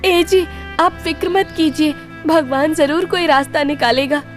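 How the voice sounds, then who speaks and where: A woman's voice: sits high, unhurried, faint music behind, pitched above the performer's own voice. A woman voicing a devoted, anxious and honest village wife in an audio story. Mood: reassuring.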